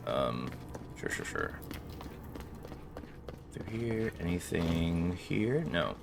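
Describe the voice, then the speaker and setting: Deep-voiced man giving wry gaming commentary. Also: huge pitch swings, drawn-out words, vocal fry, noisy background. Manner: wry, playful banter with game characters. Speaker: gaming video host. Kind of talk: casual joking let's play commentary, reading game text aloud.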